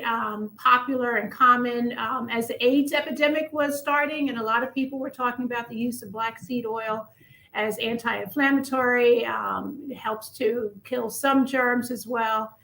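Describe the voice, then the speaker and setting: A woman's voice: on the high side, pushed and bright, poor audio. A woman in her fifties, a family physician and acupuncturist, talking earnestly as a radio interview guest. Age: 50s.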